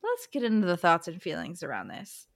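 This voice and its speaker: A woman's voice: fairly low pitch, wildly swooping pitch, a little fast. A woman co-hosting a book recap podcast in casual, playful, informal language.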